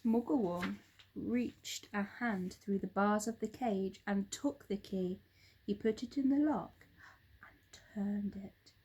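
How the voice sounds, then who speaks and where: A woman reading a children's story aloud in an expressive narrating style. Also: medium pitch, takes it slowly, slightly rough, poor audio. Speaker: storybook reader, teacher.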